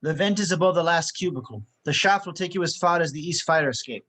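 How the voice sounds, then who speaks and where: A man's voice: on the high side, quick pace, a bit bright. A man reading a businesslike spy handler. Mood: briefing. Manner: briefing, businesslike. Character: precise male tech handler giving a briefing. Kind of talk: table read.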